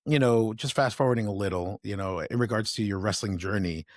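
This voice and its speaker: A man co-hosting a podcast, asking a guest questions in a measured, methodical way. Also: lowish voice, no echo, studio quality. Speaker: podcast co-host. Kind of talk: casual interview chat.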